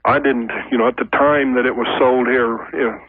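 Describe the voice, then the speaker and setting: Gravelly man on a phone line. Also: mid-range voice, steady tone, brisk, no room sound. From United States, Oklahoma. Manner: folksy, hesitant, rambling. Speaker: call-in radio caller. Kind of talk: casual explaining of a personal problem. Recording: tinny noisy phone line on radio.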